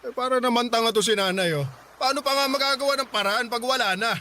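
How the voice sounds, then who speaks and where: A man's voice: very high-pitched, quick pace, pushed and bright, slight background noise. A man voicing a grieving widowed father who grumbles and defends himself in a radio drama. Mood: grumbling. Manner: defensive, sulky. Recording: music bed underneath.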